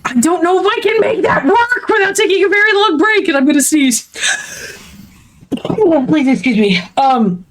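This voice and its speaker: A woman's voice: extremely high pitch, very animated, moderate pace, slight background noise. A woman chatting casually as a livestream co-host, with a wry, rambling, informal manner.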